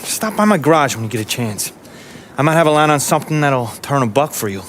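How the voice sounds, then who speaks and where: An American actor playing a chummy, eager hustling mechanic for the mob in a cinematic crime game. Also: male voice, medium pitch, lively intonation, fast talker, a bit bright, slight background noise, natural voice. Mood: grateful, chummy. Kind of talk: naturalistic cinematic game acting.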